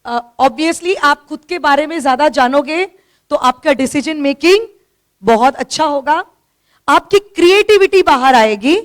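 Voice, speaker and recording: A woman's voice: high-pitched, expressive, unhurried. A middle-aged woman teacher with a sore throat, giving a firm classroom lecture from slides in a hall. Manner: firm, scolds listeners for talking. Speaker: teacher giving a talk to students. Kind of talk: classroom lecture with slides, mixing Hindi and English.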